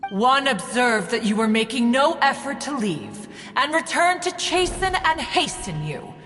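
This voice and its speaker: An actress playing a proud, ancient adeptus in a video game, speaking in a stately, formal, imperious way. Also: female voice, a little high, animated, a little slow, a bit bright. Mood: haughty. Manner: stately, imperious, formal, calling herself "one". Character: haughty, proud ancient female adeptus, archaic formal speech. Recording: long reverb, music behind.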